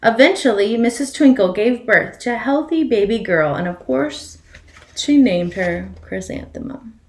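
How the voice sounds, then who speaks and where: A woman's voice: on the high side, lively intonation, unhurried. A woman reading a children's picture book aloud expressively as a storyteller, putting questions to her listeners. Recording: echoey room.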